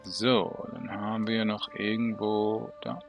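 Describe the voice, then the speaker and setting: A man's voice: on the low side, flat delivery, slow, creaky, highs cut off. A young man with a Swiss German accent, chatting casually with hesitant fillers while he plays a game and talks to his viewers. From Switzerland. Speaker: gaming video creator. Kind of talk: casual gameplay commentary. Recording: game music under voice.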